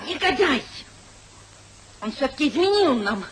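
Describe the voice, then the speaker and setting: High-pitched society lady. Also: very animated, unhurried, fairly bright tone, exaggerated voice. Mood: outraged. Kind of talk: 1950s theatrical radio comedy acting. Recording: noisy, hissy 1950s radio recording.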